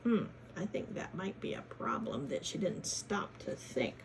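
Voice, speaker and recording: A woman hosts a children's read-aloud in a teacherly, questioning manner. Her pitch moves widely and her pace is slow, with some creak in the voice. She mixes expressive story reading with chatty asides. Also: slight background noise, low-quality recording.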